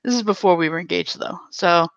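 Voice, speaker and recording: A woman's voice: on the low side, a little fast, no room sound. A young woman chatting casually as a podcast guest, giving her opinions bluntly and emphatically. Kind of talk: casual chat. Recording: dull, lo-fi, close dry audio.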